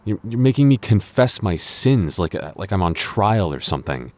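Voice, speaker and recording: A man's voice: deep voice, very animated, normal speed, a little mellow. A man playing a clingy, jealous boyfriend in an intimate audio roleplay, stammering and mock-indignant but affectionate. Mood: flustered, indignant.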